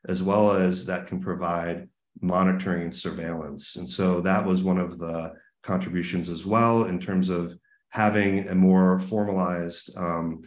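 A man giving a keynote presentation over a webinar, speaking earnestly with many fillers and restarts. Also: low-pitched. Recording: roomy echo.

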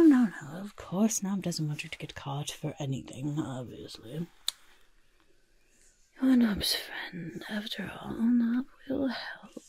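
A woman playing a quirky, friendly goblin master of disguise in a soft-spoken, often whispered ASMR roleplay, fussily repeating words. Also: lowish voice, full character voice. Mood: playful, let down. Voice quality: breathy whisper mixed with creaky soft voice. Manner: refers to self in third person, fussy, repeats words. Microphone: close ASMR microphone. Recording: close-up with audible hiss and clicks.

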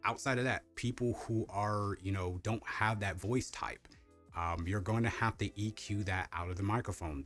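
A young man with a deep voice casually reviewing a microphone, rambling with lots of fillers and restarts, in a home streaming setup. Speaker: tech reviewer.

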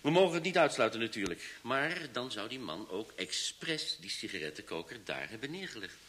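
An actor playing a sharp police inspector in a Dutch radio play, dry and brisk in his questioning. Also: medium pitch, very animated, slight background noise. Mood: brisk, deductive. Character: sharp, wry male police inspector of Russian descent.

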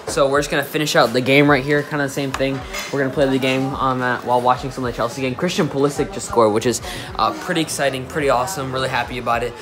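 Fast-talking American teenage boy vlogging. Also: mid-range voice, fairly even intonation. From United States. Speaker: vlogger, youth soccer player. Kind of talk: casual vlog chat to camera. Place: indoors: home living room, hotel room, busy venue. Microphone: phone or camera at arm's length.

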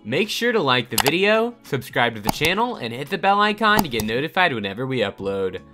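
A man reading a scripted countdown voice-over with upbeat delivery over soft background music. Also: medium pitch, sing-song, fairly quick. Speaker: narrator of a countdown channel.

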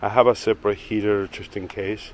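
A man's voice: fairly low pitch, a little fast, slight background noise. A man giving a casual walking tour of his backyard garden and workshop, talking loosely with many fillers while holding the camera. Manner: rambling, filler-heavy, relaxed. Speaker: woodworking channel owner. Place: backyard garden outdoors, then indoor workshop. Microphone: handheld camera held by the speaker.

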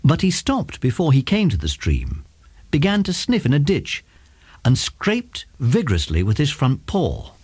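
A man's voice: a little high, wildly swooping pitch, a little slow, a little mellow, husky, no echo, full character voice. A man reading scripted narration for a children's audiobook drama.

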